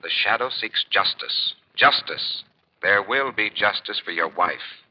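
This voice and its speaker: A menacing phantom avenger, swooping delivery. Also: male voice, fairly low pitch, animated, a little slow. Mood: grave, accusing. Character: invisible avenging phantom crimefighter, stern and mocking. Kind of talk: 1940s radio acting. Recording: dull, lo-fi old radio recording.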